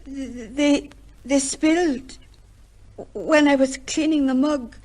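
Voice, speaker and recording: A woman's voice: high-pitched, takes it slowly, a little mellow. An actress playing a timid, deferential young working woman in an old-time radio drama, speaking hesitantly with stammers. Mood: nervous, hesitant.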